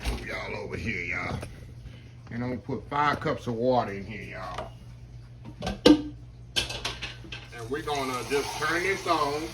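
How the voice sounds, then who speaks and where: A man, chatting to viewers as he cooks in his home kitchen, his voice slightly hoarse. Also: medium pitch, lively intonation, measured pace, long pauses, heavy background noise. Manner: chatty, keeps addressing viewers as y'all. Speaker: home cook. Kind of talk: casual cooking demonstration.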